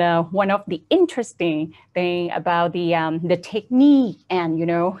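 A woman's voice: fairly low pitch, a little mellow. A woman hosting an online session, introducing a speaker in friendly, slightly with fillers, over a video call. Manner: friendly, non-native phrasing, fillers. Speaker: host.

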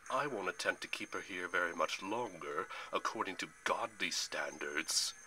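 Man playing a deep, booming, sneering Titan warlord. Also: pushed and bright, slight background noise, rough recording. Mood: gloating. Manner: slow, sneering, pompous. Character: booming, menacing, gloating Titan general, ancient and male.

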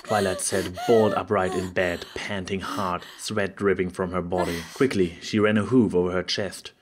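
Low-voiced man narrating a story. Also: steady tone, moderate pace, heavy background noise. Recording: music behind the voice.

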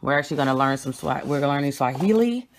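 A young woman vlogging at home with a phone camera, talking in a blunt, emphatic and quick way as she shows books. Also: a bass voice, lively intonation, slight background noise. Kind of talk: casual home vlog showing books. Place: small room at home, indoors. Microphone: phone camera held at arm's length.

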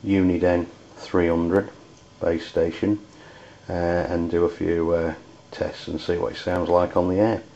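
An English man demonstrating hobby gear in a halting, hesitant way. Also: sits low, flat delivery, vocal fry, dark tone, highs cut off, slight background noise. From United Kingdom. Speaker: CB radio enthusiast reviewing gear.

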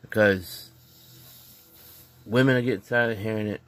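A man's voice: lowish voice, fairly even intonation, moderate pace, a bit bright. A man vlogging casually on a phone in a dim living room, earnest and preachy, repeating his points. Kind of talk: casual opinion vlog.

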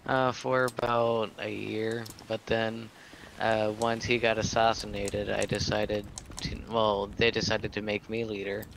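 A man with a very monotone, gravelly voice makes hesitant in-character chat during a game. His pitch is on the low side, and his delivery is understated. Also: unhurried, slight background noise, rough recording. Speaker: role-play gamer. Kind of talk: improvised in-character chat while gaming.

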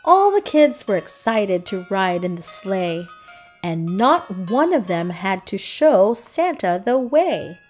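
A woman's voice: medium pitch, sing-song, slow, darkish tone. A woman narrating a children's read-aloud, reading rhythmic verse expressively. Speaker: storybook narrator. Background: music.

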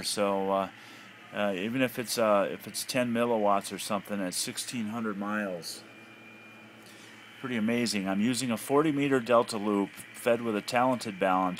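A man's voice: lowish voice, fairly bright tone. An American man giving a casual, off-the-cuff hobbyist demonstration, thinking aloud hesitantly. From United States. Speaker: amateur radio hobbyist. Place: indoors at a home radio desk. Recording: noisy handheld home recording.

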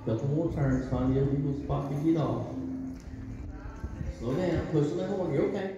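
A man with a Texan accent coaching riders live with short, repeated commands, calm and encouraging. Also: a little high, normal speed, creaky, background noise. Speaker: horse riding instructor. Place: large covered indoor arena. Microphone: camera far across the arena. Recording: distant, roomy arena sound, dull audio with music behind.

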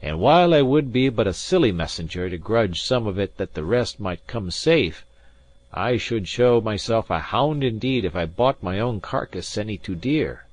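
Man doing Highland exile, proud, forceful, loud, deep, dry close sound. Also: lively intonation, normal speed, no room sound. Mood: defiant. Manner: forceful, defiant declamation. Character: proud exiled Highland gentleman, middle-aged man, bold. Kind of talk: audiobook character voice.

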